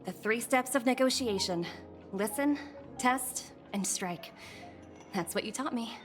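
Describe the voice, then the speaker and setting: A woman's voice: on the high side, measured pace, natural voice. A woman voice acting a proud, formal young corporate negotiator in a video game, speaking in a businesslike way. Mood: composed, analytical. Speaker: game voice actor. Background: music.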